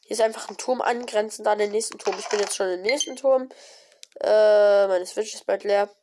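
A woman with a cold, giving casual, excited commentary on her own gameplay with many fillers and exclamations. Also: mid-range voice, normal speed, noisy background. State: has a cold. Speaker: gaming video creator. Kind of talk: casual let's-play commentary.

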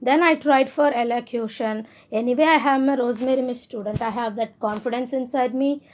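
A young woman from India speaking English with an Indian accent in a high voice. She talks in a loose, earnest monologue and often stops to restart her sentences. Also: steady tone, fairly quick, a little hiss or hum. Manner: earnest, rambling, with frequent restarts. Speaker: speaker sharing personal views. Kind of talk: casual monologue.

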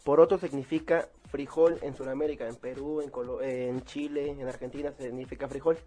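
A man in his twenties with a mellow, relaxed voice at a medium pitch. He speaks casually, like a guest telling his story in an interview, with light music underneath. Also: a little fast. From Mexico. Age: 20s. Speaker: interview guest, circus clown.

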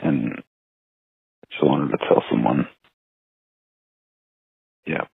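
A man's voice: extremely low pitch, very slow, creaky, breathy edge, no echo. A man leaves a hesitant, subdued personal voicemail. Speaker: caller leaving a voicemail. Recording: tinny phone line.

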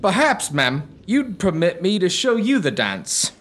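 A man's voice: high voice, huge pitch swings, measured pace, fairly bright tone, heavily acted. A man playing a wily suitor posing as a humble old sailor showman, folksy and ingratiating, in an audio comedy. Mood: wheedling, showy. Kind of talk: comic audio drama acting.

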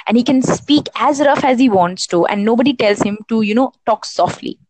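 A woman's voice: a little high, lively intonation, brisk, a little mellow, dry recording, rough recording. Young woman from india, rambly casual voice call, lots of "you know", dry sound. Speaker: app caller talking about overthinking. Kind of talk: casual voice chat. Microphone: phone app.

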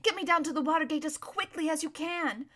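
A woman voicing a brave, impatient, sharp-tongued runaway noble girl in a storybook, speaking with firm urgency. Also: high-pitched, brisk, voice pushed up. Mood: urgent.

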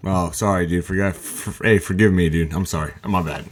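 A man with a low voice hosts a rambling hangout with friends. He swears freely, laughs through his stories and throws "dude" and "bro" into nearly every line. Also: unhurried. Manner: loose, profane, laughs often, says "dude" and "bro". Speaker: podcast host. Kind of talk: casual chat among friends.

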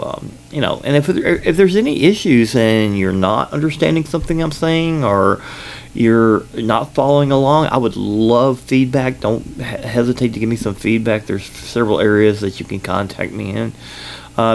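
A man with a Southern US accent talks into a sensitive studio microphone, hosting his own podcast. His voice sits low and carries a gravelly fry. He rambles casually, circling back with ums and restarts. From Kentucky, United States. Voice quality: loud voice at times. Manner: rambling, filler-heavy, self-correcting. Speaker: podcast host. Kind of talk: casual solo podcast update.

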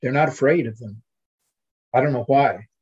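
Husky older man, reflective scholar. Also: mid-range voice, normal speed, darkish tone, dull audio. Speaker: literary scholar, podcast guest. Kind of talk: reflective academic conversation.

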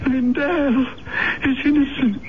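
A slow, weak dying man. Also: very high voice, fairly even intonation. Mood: anguished, insistent. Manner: halting, pleading, insistent. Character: dying sick male boarder confessing murder, desperate, insistent. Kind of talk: old time radio mystery acting. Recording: muffled vintage radio recording, faint noise.

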